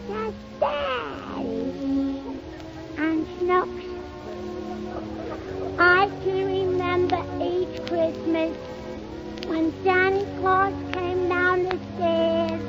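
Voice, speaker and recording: A woman's voice: extremely high pitch, over loud music, full character voice. A woman playing a small sentimental girl who sings to her daddy in childish baby talk on a 1930s radio show. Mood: tender, sentimental. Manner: childish baby-talk grammar, singing slowly. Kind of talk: 1930s radio musical comedy performance. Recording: muffled 1930s radio broadcast, loud orchestra.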